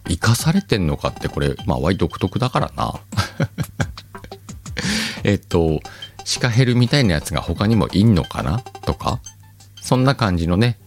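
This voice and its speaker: A man's voice: sits low, a little mellow. A man hosting a podcast in casual solo talk, chatty and teasing, often chuckling to himself. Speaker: podcast host.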